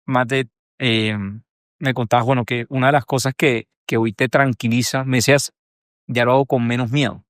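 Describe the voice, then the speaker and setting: A man with a Colombian accent hosting a podcast interview, at a middling pitch. He jokes and nudges his guest along with halting, stop-start questions. The sound is dry and close. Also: little pitch movement, a bit bright, no room sound. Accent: Colombian Spanish. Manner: joking, prompting, halting questions. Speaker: podcast host. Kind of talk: conversational interview.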